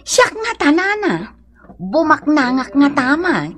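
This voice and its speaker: A woman's voice: high voice, big pitch swings, slow pace, fairly bright tone, exaggerated voice. A woman playing a naive, wistful young daughter in a radio drama, with a northern Philippine accent. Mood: naive, curious. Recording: music clearly behind the voice.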